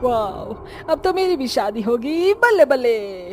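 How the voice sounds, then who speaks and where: A woman's voice: a little high, sing-song, measured pace, soft background music. A woman voicing a vain, fussy young cartoon witch who chats in a theatrical, dismissive way. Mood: eager. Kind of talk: Hindi cartoon dubbing.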